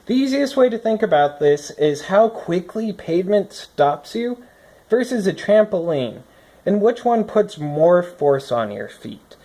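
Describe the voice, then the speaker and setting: Mid-pitched explainer reading a lesson aloud. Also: sing-song, a little slow, warm tone. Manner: explanatory, think-aloud asides. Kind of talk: scripted read-aloud lesson with commentary. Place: indoors at a desk. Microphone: phone recording from above the desk.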